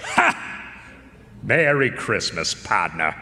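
A man's voice: on the low side, huge pitch swings, takes it slowly, bright, forceful tone, a little hiss or hum, exaggerated voice. A man playing a wry, contented private eye, narrating and talking in noir radio drama style. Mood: warm, playful. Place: performance hall stage. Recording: reverberant concert hall stage.

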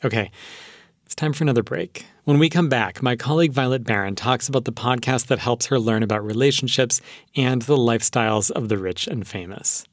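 A man's voice: medium pitch, a little fast, dry recording, clean studio sound. A man hosting a public radio show, speaking conversationally as an interviewer.

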